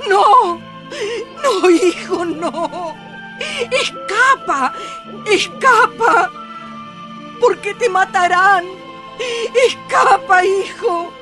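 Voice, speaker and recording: A woman's voice: very high-pitched, lively intonation, drawn-out words, loud music behind the voice, heavily acted. An actress playing a fearful peasant mother in a radio melodrama, pleading tearfully. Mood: anguished, tearful. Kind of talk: melodramatic radio drama acting.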